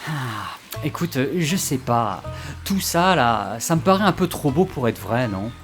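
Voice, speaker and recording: A man's voice: mid-range voice, very animated, a little slow, soft background music. A man playing a sceptical, dry, hesitant character in an audio comedy drama. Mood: doubtful, wry. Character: sceptical, hesitant, wry man humouring his friends.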